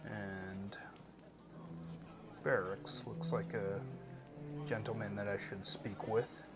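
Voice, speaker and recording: A man hosting a video game playthrough, answering and narrating in character with deliberate, somewhat hesitant, formal phrasing. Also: lowish voice, little pitch movement. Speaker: let's play host. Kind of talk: role-played game commentary. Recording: dull band-limited mic under game music. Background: game music.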